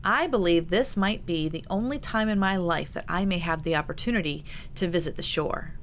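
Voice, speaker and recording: A woman reader voices a composed young lady from a period storybook, the wry and sensible elder sister. Her pitch is fairly low and her manner measured. Background noise is audible. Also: natural voice. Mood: coolly persuasive. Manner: measured, chiding. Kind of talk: audiobook character dialogue.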